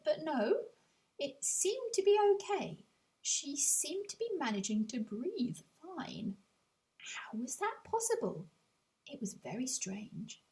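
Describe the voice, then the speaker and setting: A woman reading a whimsical children's story aloud in an expressive storytelling manner, with dramatic pauses. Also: a little high, rough recording. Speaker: author reading their own audiobook.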